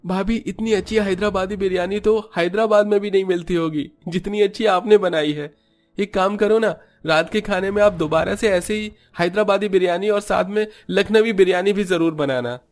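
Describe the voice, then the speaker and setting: A man puts on a young cartoon brother-in-law, greedy and cheerful. The voice is pushed well up in pitch and gushes and wheedles like a food lover. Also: normal speed, close to an everyday voice. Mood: wheedling. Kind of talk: Hindi cartoon story voice acting.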